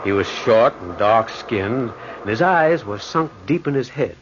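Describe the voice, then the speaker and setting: An actor playing a curious, puzzled wanderer in an old radio horror drama, speaking and narrating in an earnest, dramatic way. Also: male voice, mid-range voice, animated, a little slow, lightly acted. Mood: tense storytelling. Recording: muffled, noisy old radio recording.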